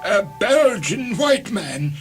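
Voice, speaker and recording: Incredulous, lecturing professor, played by a male reader, high voice, formal, music behind. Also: very animated, takes it slowly, heavily acted. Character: indignant, lecturing educated professor. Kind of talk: dramatised story reading.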